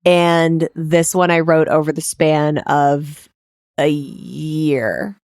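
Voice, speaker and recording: Female podcast host, lowish register, candid and unfiltered conversational delivery, dry close recording. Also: steady tone, very slow, darkish tone, no room sound. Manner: candid, casually sweary. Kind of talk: casual podcast chat.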